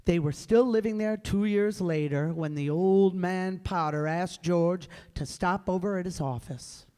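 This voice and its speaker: An actor playing a patient senior angel in a radio play, narrating in a measured storytelling manner. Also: male voice, sits high, warm tone, clean tone. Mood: wistful, recounting. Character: senior heavenly angel, patient storyteller briefing his underling. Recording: echoey hall, long reverb.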